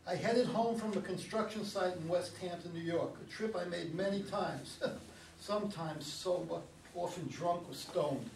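Man playing a high-voiced, weary older driver. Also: moderate pace, gravelly. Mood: reflective, wry. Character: weary, uneasy older male driver with a drinking past. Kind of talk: staged play reading. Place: meeting room, around a table. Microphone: far camera. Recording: distant mic, echoey room, background noise.

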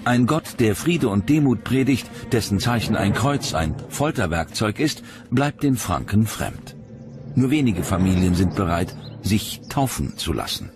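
A man reading scripted documentary narration in a measured, dramatic storytelling manner. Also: on the low side, very animated. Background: music under the narration.

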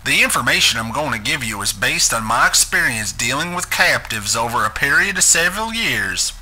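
A man's voice: mid-range voice, big pitch swings, a little fast, sharp tone, a little hiss or hum, poor audio. A man giving a dramatic reading of a script as its narrator. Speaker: narrator reading a transcript aloud.

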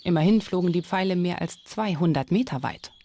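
A woman's voice: fairly low pitch, a little fast, very noisy. A woman reading scripted documentary narration.

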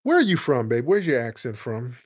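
A man's voice: medium pitch, very animated, fairly quick. A man hosting a call-in commentary show, combative and opinionated, firing rhetorical questions and acting out quoted voices. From United States. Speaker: livestream show host.

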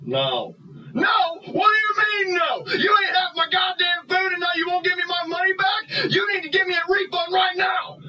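A man's voice: high-pitched, lively intonation, moderate pace. A man voicing a bratty, dim puppet boy who talks crudely and whines, recorded a little far from the microphone. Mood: indignant. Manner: crude, nonchalant, whiny. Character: bratty, dim, foul-mouthed boy puppet delivery driver. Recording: distant mic, faint background noise.

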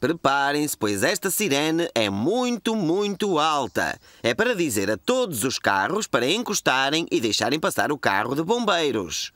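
A man's voice: fairly high pitch, very animated, normal speed, few pauses, fairly bright tone, no echo. A man with a European Portuguese accent playing a friendly, encouraging fire chief in a children's cartoon dub. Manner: cheerful, encouraging, explanatory.

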